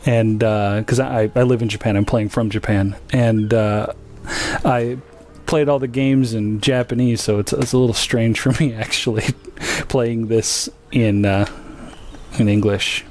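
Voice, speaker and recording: A man chatting casually and a little haltingly as he streams a video game. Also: fairly low pitch, steady tone, a little mellow, slight background noise. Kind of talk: casual gaming livestream chat.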